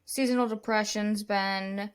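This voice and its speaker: A woman in her thirties co-hosting a casual podcast chat, relaxed and rambling as she talks. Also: mid-range voice, a little slow, clean tone. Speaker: podcast co-host.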